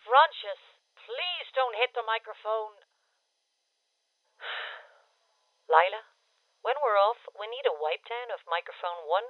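A woman playing a brisk, long-suffering radio producer in an audio drama, clipped and businesslike over a filtered studio talkback. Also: mid-range voice, expressive, no room sound, narrow, tinny audio. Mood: weary, brisk. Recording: band-limited radio talkback line.

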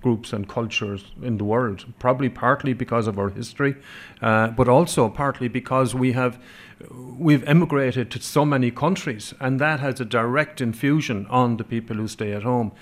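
A man from Connemara with an Irish accent whose first language is Irish, speaking earnestly on a radio panel with many fillers. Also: mid-range voice. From Connemara, Ireland. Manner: earnest, halting with many fillers, laughs easily. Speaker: panel guest.

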